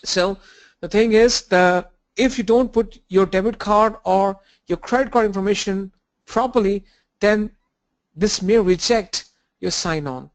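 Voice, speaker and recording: A man with a cold and a blocked nose, teaching an online training lesson with halting fillers and restarts. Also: sits high. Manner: halting, restarts and fillers, walks through screens. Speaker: instructor.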